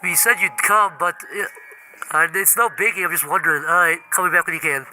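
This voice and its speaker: A man's voice: high-pitched, bright, forceful tone, slight background noise, poor audio. A man voicing a needy, passive-aggressive Jesus who stammers his way through comedy voicemails. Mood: awkward, hurt. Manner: halting, stammering, whiny. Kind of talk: improvised comedy voicemail skit.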